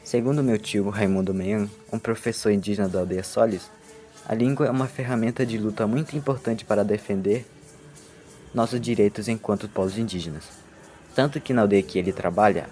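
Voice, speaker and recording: A man's voice: fairly low pitch, fairly quick, darkish tone, soft background music. A young man from Amazonas, Brazil, whose first language is Matsés, earnestly reading a personal statement as a university student.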